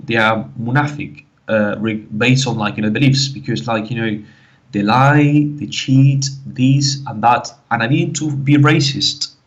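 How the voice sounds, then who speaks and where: Halting Spanish-accented man, dull lo-fi audio. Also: mid-range voice. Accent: Spanish-influenced English. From Canary Islands, Spain. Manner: halting, repetitive, full of "like, you know". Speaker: guest caller telling his story. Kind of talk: casual personal testimony, conversational.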